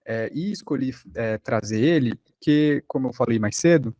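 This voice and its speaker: A Brazilian man gives an informal lesson in a mid-pitched voice. He feels his way through sentences haltingly, and the sound is dry and close. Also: a little mellow, no room sound. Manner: halting, thinking aloud. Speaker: workshop teacher.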